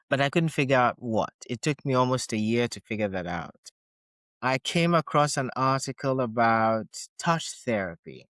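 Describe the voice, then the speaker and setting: A man's voice: mid-range voice, a bit bright, no echo. A middle-aged man, raised in Ghana, Switzerland and the UK, speaking as a guest touch therapist, telling his story in a reflective, explanatory way. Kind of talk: casual interview storytelling.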